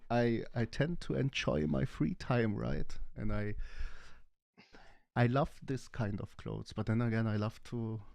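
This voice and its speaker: Slow, calm-voiced man, laughing often. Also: mid-range voice, gravelly, dry recording. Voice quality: calm, soothing voice. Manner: laughs often while talking. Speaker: crypto project team member. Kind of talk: casual live AMA chat.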